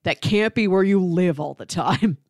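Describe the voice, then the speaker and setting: A woman's voice: fairly low pitch, moderate pace, a little mellow, dry recording, clean studio sound. A woman co-hosting a podcast, chatting casually about films in a conversational, unscripted way. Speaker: podcast co-host reviewing films. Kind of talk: casual conversational film chat.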